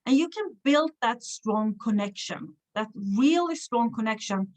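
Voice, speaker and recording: A woman's voice: fairly high pitch, animated, a little slow. A woman from Sweden with a Swedish accent, speaking English as a second language, hosting a live stream as a business coach and addressing viewers directly in an encouraging way. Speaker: online business coach and livestream host. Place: hotel room.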